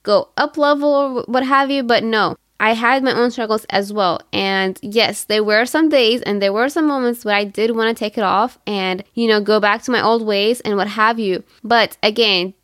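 A woman's voice: a little high, normal speed, few pauses, a bit bright, no room sound, studio quality. A woman in her thirties from Syria, hosting a podcast and telling her own story in an earnest, reflective way, with many fillers. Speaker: podcast host. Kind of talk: personal storytelling monologue.

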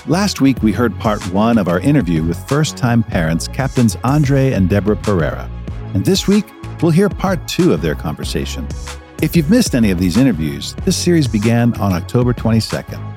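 A man hosting a radio program, reading a scripted introduction with a lively announcer delivery. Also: fairly low pitch, fairly quick, faint music behind. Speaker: radio host.

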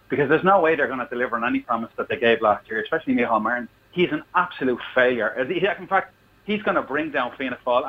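An Irish man calling in to a radio show with a blunt, heated political rant, swearing as he goes. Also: medium pitch. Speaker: caller. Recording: dull phone line with faint noise.

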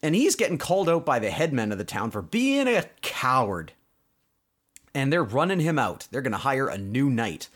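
A man's voice: mid-range voice, sing-song, moderate pace, fairly bright tone. A man hosting a podcast, casually recapping a cartoon episode in a conversational way with explanatory asides. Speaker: podcast host.

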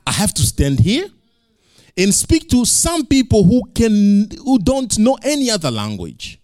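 A male pastor preaching in English, which is not his first language, building to loud emphatic peaks and repeating lines for effect. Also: high voice, huge pitch swings, unhurried, dry recording, clean studio sound. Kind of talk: sermon.

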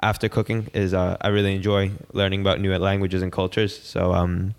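With long reverb around him, a man speaks in a fairly low voice. He is a native Italian speaker having a casual chat, thoughtful and earnest, feeling his way through his phrases. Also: completely flat delivery, fairly quick, smooth.

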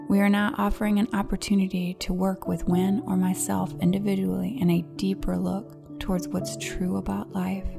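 Woman, calm announcement read, gravelly, music under. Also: mid-range voice. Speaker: podcast co-host.

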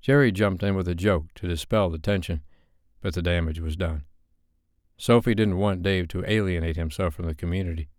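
Deep, smooth male audiobook narrator. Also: moderate pace, darkish tone, no room sound, studio quality. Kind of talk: scripted fiction narration.

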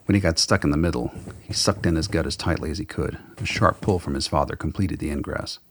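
A man narrating a scripted radio drama in a measured, wry storytelling manner. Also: a bass voice, slight background noise.

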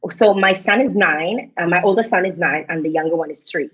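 A woman in her thirties hosting a casual online interview, effusive and fond of shout-outs, heard over a video call. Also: on the low side, brisk, husky. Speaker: host. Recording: slightly dull lo-fi sound.

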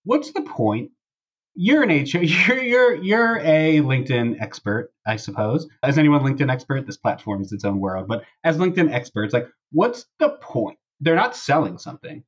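Slightly husky man, dull-sounding podcast mic. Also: mid-range voice, very animated, moderate pace. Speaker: podcast host. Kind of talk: casual podcast discussion with dramatic readings of posts.

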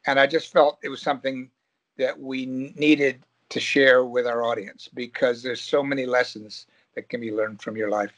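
A man hosting a storytelling podcast, asking warm, encouraging questions. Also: medium pitch, little pitch movement, normal speed. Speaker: podcast host. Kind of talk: interviewing on a podcast.

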